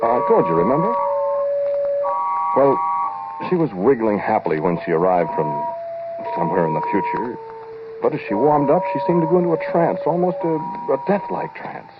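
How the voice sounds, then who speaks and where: A man's voice: mid-range voice, big pitch swings, moderate pace, pauses often, lightly acted. An American actor playing a skeptical, teasing scientist who tells his eerie story in a conversational 1940s radio drama style. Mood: animated recounting. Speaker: narrator and lead actor. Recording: noisy, narrow-band 1940s radio recording.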